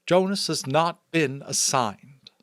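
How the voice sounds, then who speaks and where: Man voicing a commanding, high-pitched female chief elder. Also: a bit bright, dry recording, studio quality. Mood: solemn, formal. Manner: firm, declamatory, deliberate. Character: solemn commanding female chief elder addressing her community. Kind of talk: dramatic audiobook character voice.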